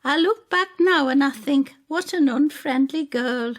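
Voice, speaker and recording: A woman's voice: sits high, a little slow, voice pushed up. A woman voicing a bitter, self-critical older woman with a rueful, tart and emphatic manner in an audio drama. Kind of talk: audio drama storytelling dialogue.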